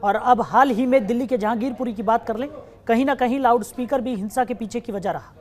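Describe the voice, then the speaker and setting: A woman news anchor reading a scripted report and introducing guests in a brisk, formal manner. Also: a little high. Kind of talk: scripted news narration and hosting.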